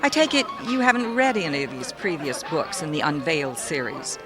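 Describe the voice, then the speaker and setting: An actress playing a brisk, polished publishing publicist in a radio mystery, businesslike and diplomatic. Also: female voice, sits low, very animated, over music. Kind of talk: old-time radio mystery acting.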